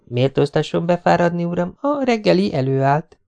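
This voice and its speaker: A man's voice: sits high, expressive, gravelly, darkish tone, pitched above the performer's own voice. A man reading an audiobook, voicing a worried, fiery young woman who pleads with rising emotion. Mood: playful. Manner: pleading, rising to near-hysterical.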